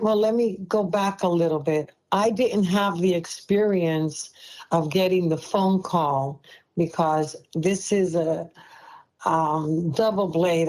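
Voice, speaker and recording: A woman's voice: lowish voice, darkish tone, low-quality recording. A woman in her seventies with a Brooklyn accent, telling her own story as a podcast guest in a heartfelt, rambling way. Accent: Brooklyn New York. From Brooklyn, New York. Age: 70s. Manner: emotional, heartfelt, rambling with restarts. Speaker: guest, liver transplant recipient. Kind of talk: casual personal storytelling.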